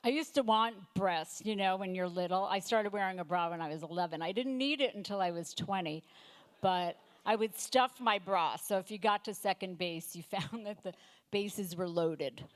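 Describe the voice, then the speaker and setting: A woman in her fifties doing a stand-up comedy set, delivering deadpan one-liners with halting fillers and self-corrections. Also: medium pitch. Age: 50s. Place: comedy night stage before a live audience.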